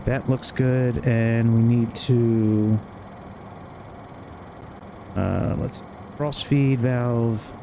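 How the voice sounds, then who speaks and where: A man's voice: medium pitch, fairly even intonation, very slow, vocal fry, pauses often. A man streaming a flight simulator, casually thinking aloud through a checklist in short clipped phrases. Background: cockpit ambience and engine noise from flight simulator.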